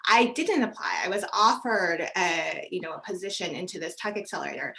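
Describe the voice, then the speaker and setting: Bright crisp-voiced woman hosting a podcast, chatty, lots of you know and like. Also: on the low side, animated, fairly quick, rough recording. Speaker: podcast host. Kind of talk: podcast interview.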